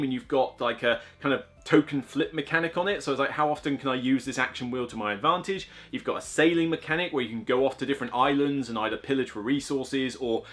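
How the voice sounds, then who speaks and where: A man's voice: medium pitch, a bit bright. A man reviewing board games in a casual, enthusiastic, rambling way, full of fillers and asides.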